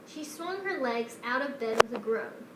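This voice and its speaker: A woman's voice: on the high side, lively intonation, moderate pace. A woman reading a children's story aloud as narrator. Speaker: storybook reader. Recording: roomy echo with faint noise.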